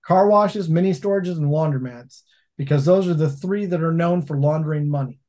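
Man, 40s, storyteller, slightly high voice, video call, home office. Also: moderate pace. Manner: storytelling, animated hand gestures. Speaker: laundromat owner and guest. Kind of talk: casual interview chat.

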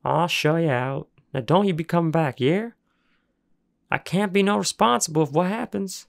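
Man putting on a gravelly old junkyard worker. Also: on the high side, moderate pace, voice pushed up. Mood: gruff. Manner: rough, ungrammatical drawl. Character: gruff toothless junkyard worker, older man.

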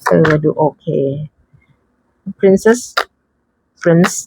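A woman's voice: mid-range voice, very slow, darkish tone, dry recording, low-quality recording. A woman giving casual, chatty unboxing commentary, thinking aloud with frequent exclamations and dropping English card names into her talk. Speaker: tarot deck reviewer.

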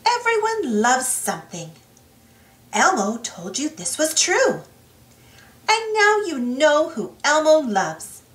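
A woman reading a children's storybook aloud in an expressive, singsong way. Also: high voice. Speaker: storybook reader, channel host. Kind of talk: children's read-aloud narration. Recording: roomy, distant mic, soft music behind.

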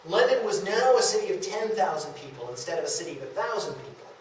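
A man's voice: high-pitched, expressive, fast talker. A man, seemingly in middle age, giving a storytelling history talk to slides, acting out bits of conversation. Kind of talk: public lecture with slides, storytelling. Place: small indoor lecture room at a historic house. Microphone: camera a few metres away. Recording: distant camera mic, very echoey room, faint noise.

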